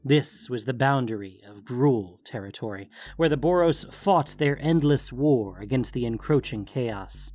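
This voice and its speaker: A man's voice: medium pitch, animated, unhurried, hardly any pauses, a little mellow. A man reading scripted fantasy audiobook narration, with music playing behind him.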